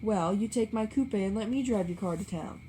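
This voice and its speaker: A woman doing a loud, blustering rich man. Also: medium pitch, moderate pace, slight background noise, natural voice, rough recording. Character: blustering, jealous wealthy man.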